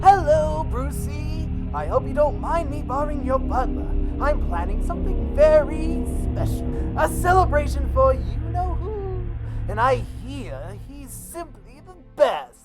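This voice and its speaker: Taunting clown supervillain, radio drama, swooping pitch, slow drawn-out delivery, music behind. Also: male voice, lively intonation, rough recording. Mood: gleeful, cruel.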